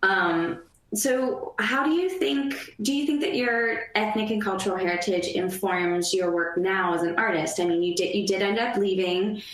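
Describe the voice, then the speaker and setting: A woman hosting an interview, asking long, winding questions with frequent restarts and warm affirmations. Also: mid-range voice, moderate pace, reverberant. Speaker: interview host, ballet dancer.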